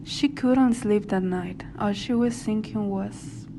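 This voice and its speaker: Female narrator for a radio drama, medium pitch, clearly noisy home recording. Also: slow pace.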